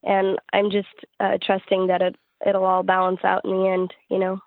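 A woman's voice: mid-range voice, completely flat delivery, moderate pace, dry recording. Woman on a tinny phone line. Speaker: singer-songwriter being interviewed. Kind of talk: casual phone interview. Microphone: telephone call.

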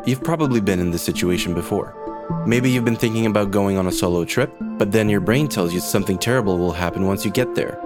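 A man's voice: fairly low pitch, fast talker, over music. A man reading a scripted podcast sponsor spot in a conversational, explanatory manner. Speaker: sponsor ad reader.

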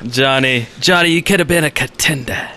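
A man's voice: medium pitch, brisk, sharp tone. A man bantering jokily as a podcast co-host. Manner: jokey. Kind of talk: casual banter.

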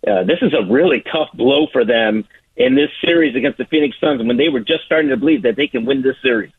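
A man's voice: mid-range voice, fast, breathy edge, no echo. A man giving an unscripted sports report over a thin, muffled phone-like line.

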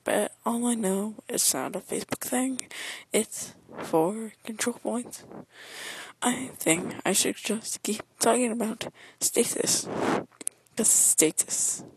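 A woman rambling through a silly, repetitive homemade podcast bumper, with odd pauses between her words. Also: fairly high pitch, background noise. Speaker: listener who sent in a podcast bumper.

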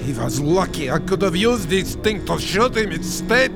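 An actor playing an anxious but ruthless Bosnian immigrant with an Eastern European accent, terse and blunt, in an audio thriller. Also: male voice, high-pitched, big pitch swings, normal speed. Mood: cold, blunt. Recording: music behind the voice.

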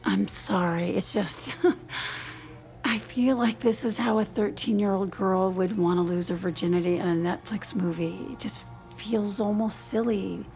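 Woman playing wife, gently exasperated, slow n hesitant, bit of vocal fry, audio drama. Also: medium pitch, steady tone, soft background music, close to an everyday voice. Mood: gentle, uneasy. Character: restrained, uneasy wife, gently exasperated with her husband. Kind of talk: naturalistic audio drama acting.

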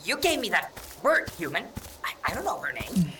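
A woman's voice: medium pitch, sing-song, moderate pace, a crowd in the background, lightly acted. A woman playing a proud, taunting young male nonhuman hunter in an audio drama. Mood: indignant, taunting. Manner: taunting, scornful, hesitant at times.

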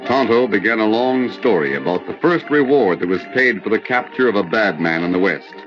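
A man's voice: lowish voice, expressive, fairly quick, music in the background, lightly acted. A man narrating a vintage radio Western in a scripted 1940s storytelling style. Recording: dull old-time radio recording.

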